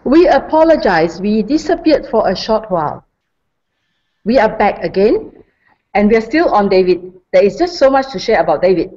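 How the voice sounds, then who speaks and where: Lively-voiced woman moderating a webinar. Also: medium pitch, moderate pace. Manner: warm, formal, reads credentials. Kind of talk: formal speaker introduction. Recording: dull lo-fi webinar audio.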